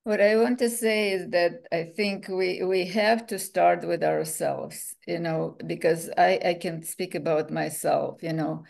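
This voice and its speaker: A woman from the former Soviet Union, slowly and reflectively on a group video call. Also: fairly low pitch, steady tone, creaky, darkish tone. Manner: slow, reflective, with many "you know"s. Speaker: support group participant. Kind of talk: casual group discussion.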